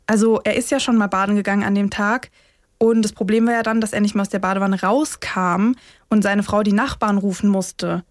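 A woman's voice: medium pitch, steady tone, fairly quick, no echo, clean studio sound. A woman co-hosting a true-crime podcast in a casual, colloquial conversation. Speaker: podcast co-host.

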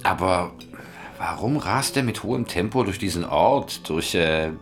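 A man's voice: low voice, expressive, unhurried, faint music behind. A man acting in a German radio drama as a level-headed private investigator who reasons aloud methodically. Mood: thoughtful, analytical.

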